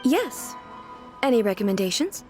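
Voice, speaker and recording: A woman's voice: on the high side, big pitch swings, normal speed. A woman voicing a regal, curious female ruler in a video game, speaking formally and with composure. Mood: reassuring. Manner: formal, composed, grandly worded. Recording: game dialogue over background music.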